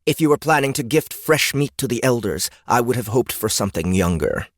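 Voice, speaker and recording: A man voicing a female beast-folk elder in a fantasy audiobook, haughty and erudite, with clipped, precise diction and a deliberate manner. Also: medium pitch, big pitch swings, no echo, clean studio sound, voice pushed up. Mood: disdainful. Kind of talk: fantasy audiobook character acting.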